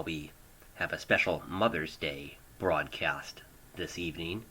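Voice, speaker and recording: An American man hosting an amateur radio show, narrating in deliberate, drawn-out phrases with pauses, recorded at home on a hobbyist microphone. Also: sits low, sharp tone, slight background noise, poor audio. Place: home studio. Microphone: older hobbyist microphone.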